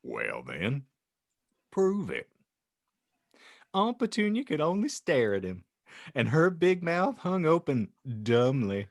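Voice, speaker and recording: A man's voice: fairly high pitch, dry recording, studio quality. A man hosting a podcast, reading a comic story aloud with dramatic narration and joking banter. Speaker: podcast host and audiobook reader.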